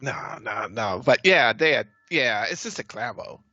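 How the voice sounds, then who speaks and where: A young man doing casual gameplay commentary on a stream, excitable and quick to doubt what he hears. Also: medium pitch, no room sound, lo-fi sound. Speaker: game streamer.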